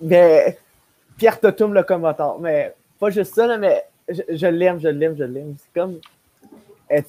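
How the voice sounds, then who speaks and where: A man's voice: fairly high pitch, a little slow, mellow. A young man with a Quebec French accent chatting effusively in a group video call, repeating himself for emphasis. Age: young adult. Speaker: podcast panelist. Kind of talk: casual group discussion. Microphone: wired earbuds on a video call.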